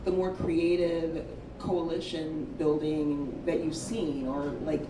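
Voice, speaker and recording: A woman's voice: low voice, flat delivery, takes it slowly. A woman moderator asking friendly, rambling questions at a live panel. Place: live event venue, indoors. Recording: roomy, distant live-room sound with noise.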